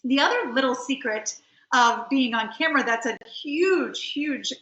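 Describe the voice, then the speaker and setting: A middle-aged woman giving an instructional webinar talk as a coach, emphatic and slowing down to stress key phrases. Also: sits high, lively intonation, sharp tone. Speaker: webinar presenter, communication coach and trial attorney. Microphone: computer on a video call. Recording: roomy, slightly dull computer audio.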